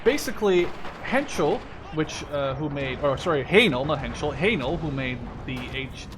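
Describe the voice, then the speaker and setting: A man's voice: a little high, lively intonation, moderate pace, background noise. A man in his twenties from the Netherlands giving casual, rambling gameplay commentary, often correcting himself and swearing casually. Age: 20s. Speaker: gaming video host.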